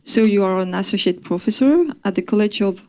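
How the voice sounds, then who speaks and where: A woman's voice: medium pitch, a little fast, warm tone. Polite woman chairing a session. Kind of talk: introducing a speaker. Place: conference hall.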